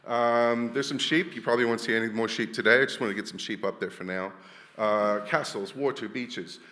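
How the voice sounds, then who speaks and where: A man's voice: medium pitch, fairly even intonation, fairly quick, bright, forceful tone, in a large hall. A man from Wales, giving a chatty, jokey conference talk full of restarts. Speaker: conference speaker. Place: large hall.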